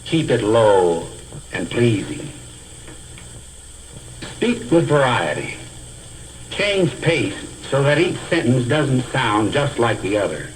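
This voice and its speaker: A man giving a scripted instructional lecture as a speech teacher, in a deliberate, carefully enunciated, didactic manner. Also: medium pitch, big pitch swings, low-quality recording. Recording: hissy old educational film soundtrack.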